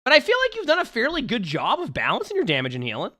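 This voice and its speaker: A man with a high, bright voice coaches a player live on stream, walking them through what they did wrong. His pitch swings widely as he talks. His voice is a little hoarse because he is under the weather. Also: wildly swooping pitch, fast talker, dry recording.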